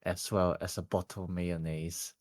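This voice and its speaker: A man's voice: sits low, level tone, moderate pace, no room sound, studio quality. A man whose first language is Cantonese, co-hosting a podcast and chatting hesitantly while he searches for words. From Hong Kong or Cantonese-speaking region, living in England. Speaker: podcast co-host. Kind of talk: casual chat.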